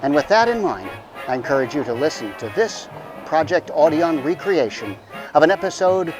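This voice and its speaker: A man's voice: on the high side, very animated, moderate pace. Male radio host over music. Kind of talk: scripted introduction to a radio show.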